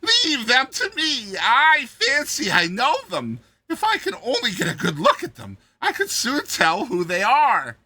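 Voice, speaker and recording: A man voicing a vengeful, maniacal dwarf court jester in a dramatized story, screaming shrilly with a drawn-out, mocking delivery. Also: very high voice, wildly swooping pitch, pushed and bright, no echo, pitched above the performer's own voice, full character voice. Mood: gleeful screaming.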